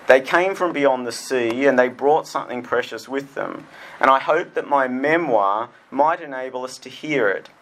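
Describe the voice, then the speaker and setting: An earnest man delivers a prepared speech in a reflective, steady voice of middling pitch. He reads as a memoir author, sincere and thoughtful. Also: poor audio.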